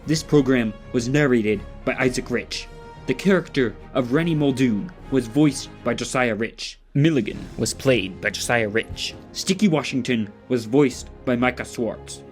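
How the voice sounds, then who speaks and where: A man's voice: mid-range voice, a little slow, soft background music. A man announcing the credits of an audio drama in a scripted read.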